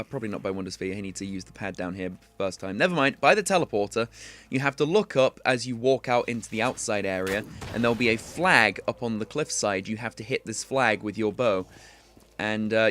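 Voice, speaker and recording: A man's voice: medium pitch, fairly bright tone. A young man hosting a video game walkthrough, talking casually and chattily with plenty of filler words. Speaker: gaming tutorial host.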